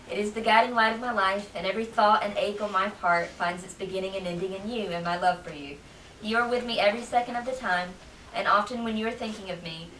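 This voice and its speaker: A woman gives a dramatic reading of old letters at a live event. Her voice is bright and sits at a middle pitch. The recording is echoey and picked up from a distance, with faint noise. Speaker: reader at a public library event. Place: library room, reading to a small gathering.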